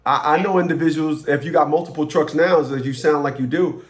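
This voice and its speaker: A man's voice: a little high, fairly quick. A man hosting a one-on-one coaching conversation, interjecting with instructive remarks and often repeating his opening words. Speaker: host, relationship and family-court coach.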